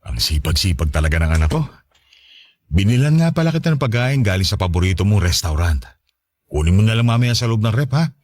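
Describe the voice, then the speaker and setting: A male actor plays a tender Filipino widower talking to his grown daughter in a radio drama. His voice is very low and moves expressively, with an affectionate, hesitant manner. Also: expressive, quick pace, darkish tone. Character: gentle widowed father of a college student daughter.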